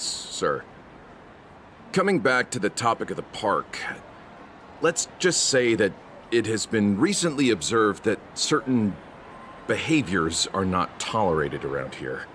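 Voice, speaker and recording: A man's voice: lowish voice, big pitch swings, measured pace, a bit bright, a little hiss or hum. An actor playing a polite, evasive security officer in a video game, hesitant and deferential in his delivery. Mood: polite, guarded. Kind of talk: scripted video game voice acting.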